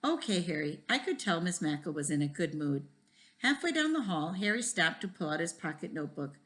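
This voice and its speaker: A woman reading a children's story aloud like a teacher, expressively, pausing to wonder aloud with her listeners. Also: deep voice. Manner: animated, pauses to pose questions to listeners.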